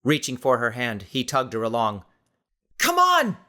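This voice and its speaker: Male audiobook narrator, dramatic scripted read, mid pitch, tense bright tone. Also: steady tone, normal speed.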